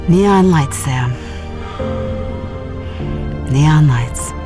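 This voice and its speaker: Unhurried, low-voiced young space explorer. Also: female voice, music in the background. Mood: wry, brisk. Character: young female space explorer, wry, restless, companionable captain. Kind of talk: scripted sci-fi audio drama acting.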